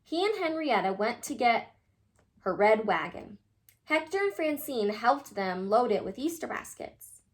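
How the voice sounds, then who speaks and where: A woman reading a children's picture book aloud in an animated, expressive way, addressing young listeners. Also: on the high side, measured pace.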